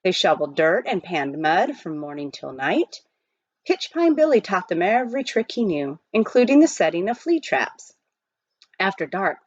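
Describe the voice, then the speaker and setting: Animated woman reading a story aloud, slightly dull. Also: fairly low pitch, husky, dry recording. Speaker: reader of a children's novel. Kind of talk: expressive read-aloud storytelling.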